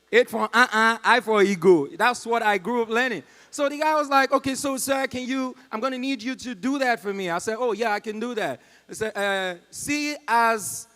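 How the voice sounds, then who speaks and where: A young Nigerian man doing live stand-up, telling a story and acting out the people in it with quick voices and loud exclamations. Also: very high-pitched. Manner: acts out callers and agents in quick put-on voices, loud exclamations. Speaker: stand-up comedian. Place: comedy club stage.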